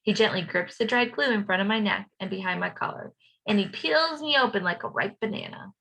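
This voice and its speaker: A woman reading a children's storybook aloud as a playful young schoolboy narrator, expressive and rhythmic. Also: medium pitch, fairly quick, creaky, poor audio. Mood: warm storytelling.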